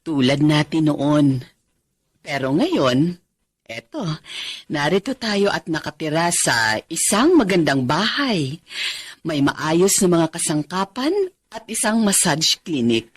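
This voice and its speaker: A woman's voice: deep voice, slow pace, breathy edge, no echo, studio quality, exaggerated voice. An actress playing a devoted, anxious Filipino wife and mother in an emotional radio drama. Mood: tender, reflective. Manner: emotional, expressive.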